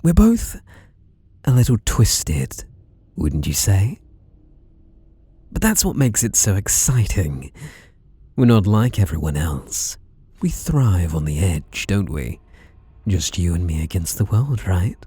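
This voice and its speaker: A British man plays a masked slasher with an obsessive, possessive streak, made for intimate ASMR roleplay. He is close and dry, drawing his words out slowly. The pitch swoops widely as he teases and flirts with soft menace. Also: very animated, slightly rough, no room sound, exaggerated voice. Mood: twisted, amused. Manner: teasing, softly menacing, drawn-out delivery with sudden swoops.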